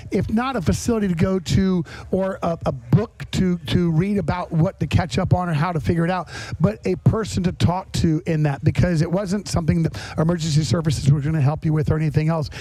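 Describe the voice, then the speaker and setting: High-pitched, mellow male podcast host. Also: low-quality recording. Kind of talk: casual conversational interview.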